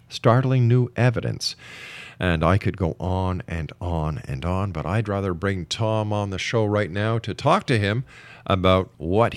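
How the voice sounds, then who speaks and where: Deep-voiced radio host. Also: male voice, no room sound. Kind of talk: radio interview hosting, reading a guest introduction.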